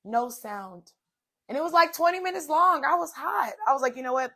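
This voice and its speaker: Hoarse woman host, a touch high-pitched, motivational solo talk, casual and talking straight to her audience. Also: expressive, moderate pace. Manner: chatty, direct to viewers, repeats "okay" and "y'all". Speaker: podcast host. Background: soft music playing.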